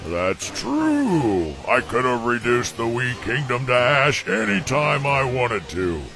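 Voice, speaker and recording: A man voicing a proud, brooding exiled dragon in an animated film, speaking in a dry, grandiose, theatrical way. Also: mid-range voice, slow pace, creaky, over music, exaggerated voice. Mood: resentful, proud. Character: banished male dragon, gruff, brooding, proud of his might.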